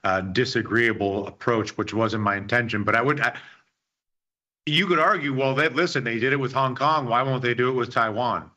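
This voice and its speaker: A man's voice: medium pitch, moderate pace, sharp tone. A man chatting casually on a markets panel, rambling with playful restarts. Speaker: show regular.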